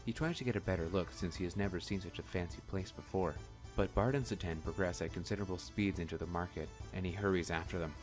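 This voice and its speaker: Male narrator, low-pitched, scripted storytelling, radio drama, faint music behind. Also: fast, hardly any pauses.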